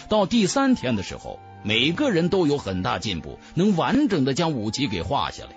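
Highly animated male audiobook narrator. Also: normal speed, highs cut off, soft background music. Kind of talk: dramatic scripted narration of a fantasy novel.

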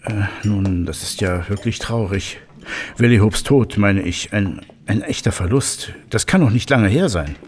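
A man playing an excitable, learned professor in a German audio drama, given to muttering, humming and exclaiming. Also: lowish voice, measured pace. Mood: subdued, regretful. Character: excitable, learned male professor and steam technology expert.

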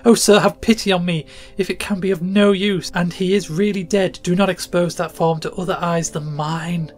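A man's voice: high voice, takes it slowly, creaky, darkish tone, faint music behind, pitched above the performer's own voice, full character voice. A man voicing a desperate, grief-stricken woman of about fifty who pleads in near frenzy. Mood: desperate begging.

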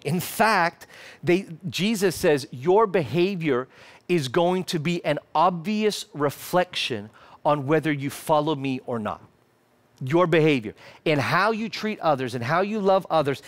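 Australian pastor in his thirties, high voice, emphatic preaching that drops to hushed moments, headset mic. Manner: emphatic, gesturing preacher who swings from fervent to hushed. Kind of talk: sermon. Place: church stage in front of a lit screen.

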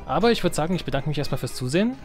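Guy doing casual let's play commentary, slangy, talks fast, game music in the back. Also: on the high side, animated. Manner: colloquial, slangy, thinking aloud. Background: strategy game music and game sounds.

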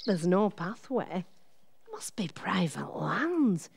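A woman acting a married wife in a radio play, chatty and expressive, quick to exclaim and a little wary. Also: lowish voice, creaky, a little hiss or hum, heavily acted. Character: married countrywoman on an outing, chatty, easily flustered wife.

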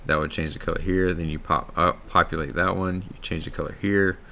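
A man with a very low, gravelly voice walks through a screen-recorded software lesson in a loose, rambling way. He circles back on his words often. A faint hiss and some keyboard typing sit under his voice. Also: fairly even intonation, fairly quick. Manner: casual, rambling, many fillers and restarts. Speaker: software tutorial instructor.

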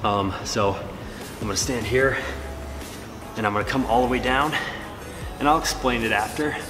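A man's voice: medium pitch, moderate pace, over music. A man in his thirties giving casual workout tips to his camera in a large gym, with filler words. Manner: relaxed, talking between sets with fillers. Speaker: fitness vlogger and trainer. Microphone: camera-mounted mic, camera on a stand. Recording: reverberant gym hall.

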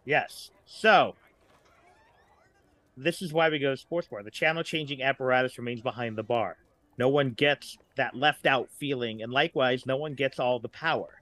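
A man's voice: mid-range voice, moderate pace, a bit bright, no room sound. A middle-aged man talking casually as a writer guest on a podcast.

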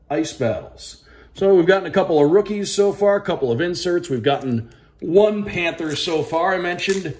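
An American man hosting a casual unboxing as a sports broadcaster, announcing names crisply like a play-by-play caller. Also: on the high side. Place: indoors at a tabletop, small room.

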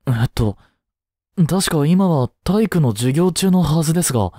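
A man playing a caring, easygoing high school teacher in a voice drama, speaking conversationally with gentle concern. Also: animated, moderate pace, dry recording, clean studio sound. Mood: worried, polite. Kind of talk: scripted Japanese voice drama acting.

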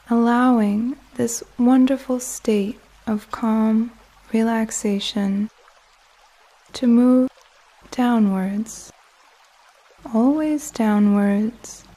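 Female meditation guide: soft and soothing, slightly high yet mellow, unhurried and calming delivery for sleep. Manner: gentle, calming, unhurried with long pauses. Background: soft music and flowing water nature sounds.

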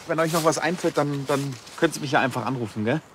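A man's voice: on the high side, quick pace, very noisy, close to an everyday voice. An actor playing an earnest, naive junior policeman in a TV crime comedy, hesitant and unsure of himself. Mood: awkward, friendly.

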